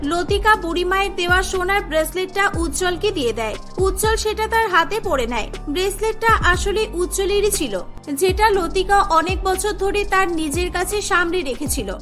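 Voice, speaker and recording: A woman's voice: extremely high pitch, moderate pace, over music. A woman narrating a cartoon story from a script.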